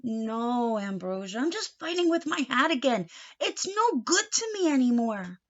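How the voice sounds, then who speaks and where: A woman's voice: a little high, big pitch swings, measured pace, highs cut off. A woman voicing a fussy, indecisive storybook uncle who argues with himself in dramatic exclamations. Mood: exasperated.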